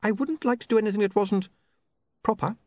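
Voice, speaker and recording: A man voicing a prim, sharp young lady, indignant and suspicious, in an audiobook story. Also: sits high, a little fast, dry recording, voice pushed up, close to an everyday voice. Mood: prim, doubtful.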